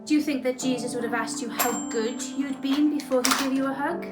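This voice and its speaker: A woman's voice: sits high, steady tone, fairly quick. Woman reading a story in an echoey room. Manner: asks children questions while reading. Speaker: story reader. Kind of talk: children's storytelling. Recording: echoey room with loud music. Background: music.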